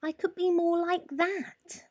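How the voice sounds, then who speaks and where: A woman voicing a tiny, meek male mouse with a squeaky, eager, breathless voice in a storybook reading. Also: little pitch movement, takes it slowly, a little mellow. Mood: wistful.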